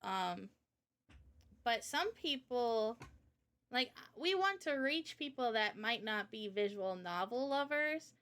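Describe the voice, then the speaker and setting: A woman's voice: on the high side, expressive, takes it slowly, fairly bright tone. A woman in a casual interview chat about games, speaking informally and with opinions as the host. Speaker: host and game developer.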